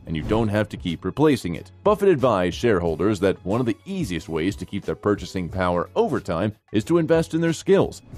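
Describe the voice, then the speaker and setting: Lively low-pitched male explainer narrator. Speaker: narrator of a finance explainer video. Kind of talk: scripted narration. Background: background music.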